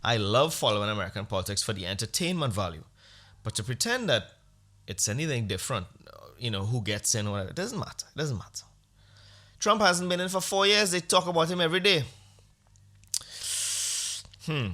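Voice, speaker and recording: A man's voice: mid-range voice, expressive, fairly quick, long pauses, sharp tone. A man hosting a podcast, talking in a blunt, sarcastic rant. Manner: blunt, ranting, sarcastic, profane. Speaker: podcast host. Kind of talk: casual opinionated podcast monologue.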